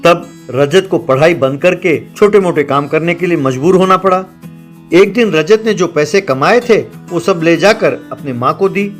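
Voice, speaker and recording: A man's voice: a little high, normal speed, faint music behind. A man reading scripted narration for a children's cartoon story.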